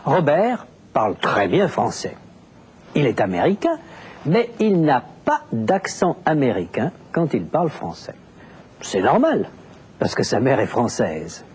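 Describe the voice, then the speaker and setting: A man narrating a language lesson, explaining deliberately with very clear enunciation. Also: mid-range voice, huge pitch swings, a little mellow. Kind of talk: scripted teaching narration.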